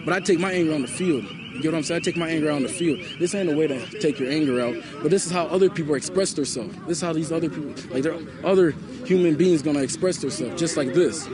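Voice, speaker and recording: A man's voice: a little high, fast, few pauses, noisy background. A young man from Liberia, interviewed outdoors at a protest, speaking with passion and often repeating himself and asking "you know?". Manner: impassioned, repetitive, often asks "you know?". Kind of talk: street interview. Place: outdoors at a night protest near a mall.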